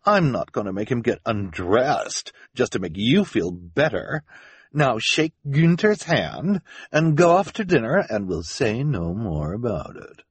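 A man's voice: fairly high pitch, very animated, a little slow, slightly rough, dry recording, pitched above the performer's own voice, exaggerated voice. A man voicing a vain, wealthy woman who is imperious, snappish and emphatic. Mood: impatient. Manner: haughty, impatient, emphatic.

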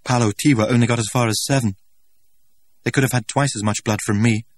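A man's voice: medium pitch, steady tone, a little fast, dry recording, pitched above the performer's own voice, lightly acted. A man voicing an emotional young man raised on a reservation, who speaks in peculiar, old-fashioned English with a voice that trembles, in a storybook reading. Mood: anguished.

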